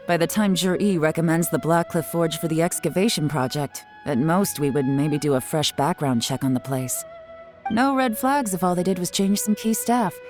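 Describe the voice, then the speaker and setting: A woman playing a shrewd, composed investigator in a video game, speaking in a measured, knowing way with cool amusement. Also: deep voice, darkish tone. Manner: measured, knowing, sly deductive delivery.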